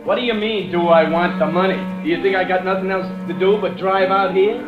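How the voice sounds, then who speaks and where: A blustering, impatient creditor played by a man threatens in a high-pitched voice. It is 1940s radio drama acting, on an echoey old recording. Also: steady tone, fairly quick, narrow, tinny audio, over music, lightly acted. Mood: indignant. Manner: blustering, menacing. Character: impatient, threatening male creditor demanding his money.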